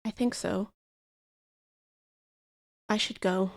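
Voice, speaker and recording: A woman's voice: mid-range voice, fairly even intonation, extremely slow, gravelly. An actress playing a timid, withdrawn young woman who speaks in short, hesitant, meek phrases in a radio drama. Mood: bewildered, meek.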